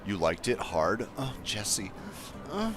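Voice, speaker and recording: A man with a deep voice casually chatting to his viewers as he builds in a game livestream, thinking aloud in an easygoing way. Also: huge pitch swings, slow, music in the background. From Colorado, USA. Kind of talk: casual gameplay livestream chat.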